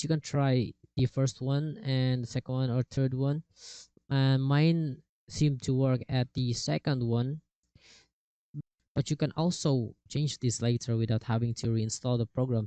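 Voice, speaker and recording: Mellow, clear-voiced man giving a tutorial. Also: mid-range voice, no room sound. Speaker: tutorial host. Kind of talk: casual software tutorial narration, step by step. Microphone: cheap desktop microphone with software processing.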